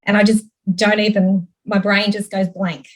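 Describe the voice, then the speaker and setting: A woman's voice: medium pitch, level tone, normal speed, low-quality recording. A woman from Europe sharing candidly as a participant in an online workshop over a video call. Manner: candid, self-reflective.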